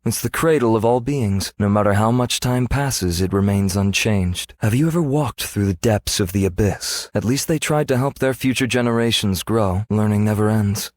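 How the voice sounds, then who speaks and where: Guy doing a world-weary archaeologist game character, lowish voice, calm n scholarly, measured, super dry sound. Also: dry recording, close to an everyday voice. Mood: pensive, wry. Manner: measured, reflective, formal. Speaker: video game voice actor. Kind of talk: scripted game character voice lines.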